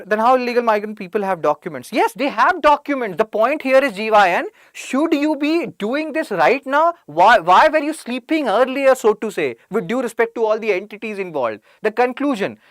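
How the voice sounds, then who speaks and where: Animated Indian English woman teaching. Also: a little high, no echo. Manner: chatty, jokes and sarcastic asides; reads out student names from chat. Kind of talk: online exam-prep lecture.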